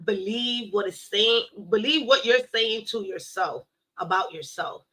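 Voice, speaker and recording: A woman giving a casual tarot reading to her viewers, playful and blunt, cursing now and then and slowing down to recite affirmations. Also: medium pitch, pushed and bright, low-quality recording. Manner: playful, blunt, swears, addresses viewers as y'all. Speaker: tarot reader.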